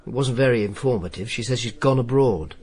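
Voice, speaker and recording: An actor playing a wry, jealous, stubborn Roman informer who narrates his own story in a radio drama, deadpan and sardonic. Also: mid-range voice, a little fast, slightly rough. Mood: stubborn, sulky. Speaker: first-person narrator and lead character.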